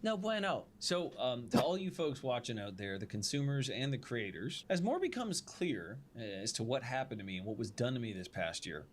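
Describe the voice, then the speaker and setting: Guy video creator talking at his audience, earnest and indignant, mid pitch, bit of noise behind him. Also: sing-song, moderate pace, hardly any pauses. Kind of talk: monologue addressed to viewers.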